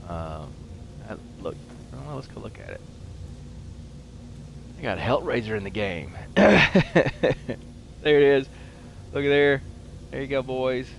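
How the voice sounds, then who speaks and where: A man giving casual commentary as he plays a video game, rambling and halting as he goes. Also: mid-range voice, lively intonation, creaky, a little hiss or hum, low-quality recording. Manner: rambling, halting, talks while playing. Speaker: host of a gaming show.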